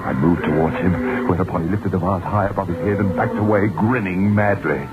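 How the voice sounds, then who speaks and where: An actor playing a haunted, frightened novelist who tells his tale deliberately in an old-time radio drama. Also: low voice, close to an everyday voice. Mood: horrified, dramatic. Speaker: actor and narrator. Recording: muffled old radio recording, music behind.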